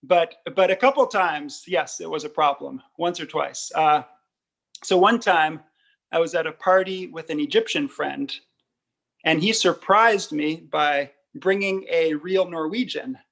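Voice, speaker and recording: American guy, kinda high voice w lots of fry, chatty storytelling, candid n jokey asides. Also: a little slow, fairly bright tone. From United States. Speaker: embassy spokesman and former Arabic teacher, guest. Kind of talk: conversational personal storytelling.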